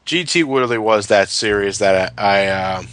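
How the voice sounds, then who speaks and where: A male podcast co-host with a bright, forward voice. His pitch moves around in a lively way as he chats, and he is blunt and happy to swear. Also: normal speed, dry recording. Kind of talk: casual chat, retrospective commentary.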